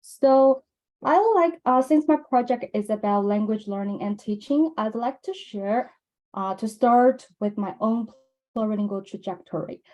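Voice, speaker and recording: A young woman from mainland China with a Chinese accent, giving a measured academic conference presentation with frequent ums and uhs. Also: on the high side, a little mellow, low-quality recording. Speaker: presenter at a research symposium.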